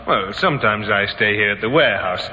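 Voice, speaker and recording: An actor playing an evasive, apologetic warehouse manager in an old radio drama, speaking in a hesitant, sheepish way. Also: male voice, fairly low pitch, animated, fairly quick, bright, forceful tone. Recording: dull, echoing, noisy old radio recording.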